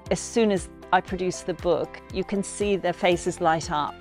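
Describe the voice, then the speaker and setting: Woman translator, interview, low voice, keen, light music bed. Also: measured pace. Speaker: translator leading workshops.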